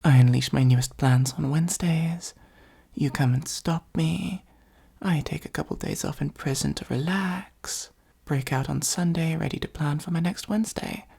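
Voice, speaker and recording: A woman playing a possessive supervillain who is secretly fond of a hero, in an intimate ASMR roleplay, speaking softly and breathily with an earnest, gently wry delivery. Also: low-pitched, normal speed, full character voice. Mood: wry, dismissive. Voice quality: soft, husky, breathy, often near whisper.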